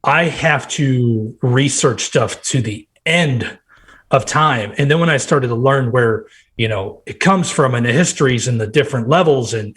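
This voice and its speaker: Nasal, hoarse man in his thirties. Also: medium pitch, fairly bright tone. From Nebraska. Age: 30s. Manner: rambling, quotes himself in bursts. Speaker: guest. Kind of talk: casual chat.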